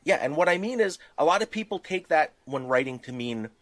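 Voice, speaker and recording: A man's voice: on the high side, expressive, fairly quick, fairly bright tone, no echo. A man co-hosting a casual podcast, explaining things emphatically and posing rhetorical questions. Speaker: podcast host.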